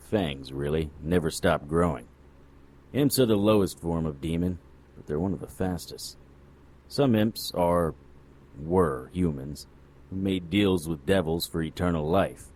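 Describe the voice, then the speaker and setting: World-weary noir private eye, deep voice, dry and sarcastic, occult detective audio drama. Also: male voice, darkish tone. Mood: wry narrating. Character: jaded, sardonic male hard-boiled occult investigator. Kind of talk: noir audio drama acting with first-person narration.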